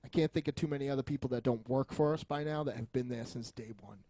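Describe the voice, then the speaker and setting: A man's voice: medium pitch, quick pace, dark tone, no echo. A man chatting casually as a podcast co-host, rambling and joking with frequent chuckles and some swearing. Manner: jokey, foul-mouthed, rambling, often chuckling.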